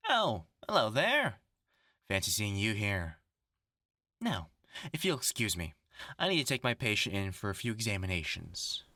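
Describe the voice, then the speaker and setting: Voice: medium pitch, very animated, moderate pace, long pauses, pushed and bright, no echo, studio quality, heavily acted. An actor in a horror audio roleplay voicing a bitter, grandiose scientist bent on revenge, mocking, theatrical and menacing. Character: vengeful, gloating scientist turned superhuman, bitter and grandiose.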